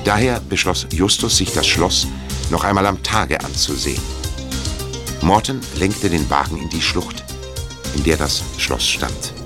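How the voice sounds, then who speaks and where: Narrator: man with a low voice, scripted audio drama storytelling, music clearly underneath. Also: lively intonation, a little slow.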